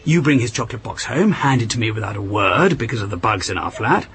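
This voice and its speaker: An actor playing an earnest, patronizing British intelligence officer and husband in a radio drama, urgent and persuasive. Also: male voice, on the low side, lively intonation, fast, a bit bright, a little hiss or hum. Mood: grave, persuasive. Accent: British English.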